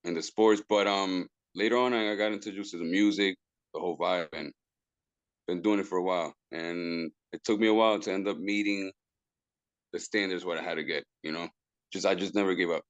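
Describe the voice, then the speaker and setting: A man's voice: low-pitched, steady tone, a little fast, no room sound, highs cut off. A man, a guest musician who talks casually, stumbles over repeated words and drops into Spanish phrases. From Puerto Rico. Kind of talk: casual interview talk.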